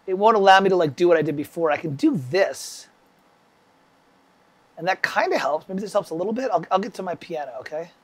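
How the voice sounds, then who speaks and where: A man's voice: high voice, expressive, brisk. A man with a stuffy summer cold, teaching music composition on a casual livestream, rambling and chatting with viewers by name.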